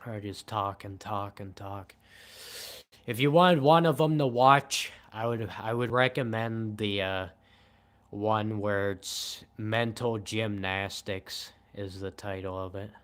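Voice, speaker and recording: A young man in his twenties talks to his livestream viewers in a relaxed, unhurried chat. His voice sits on the lower side and comes across clear and smooth. He speaks in a steady monotone that gives little emotion away. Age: 20s. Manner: monotone, calm and low-key, says he shows little emotion. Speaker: livestream host. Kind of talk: casual late-night livestream chat.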